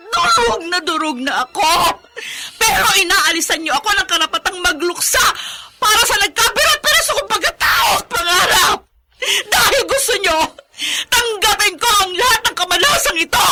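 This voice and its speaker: A woman's voice: extremely high pitch, very noisy. An actress playing a bitter, exhausted mother in an emotional Filipino radio drama. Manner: emotional, anguished, forceful. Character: bitter, exhausted mother resentful of her life.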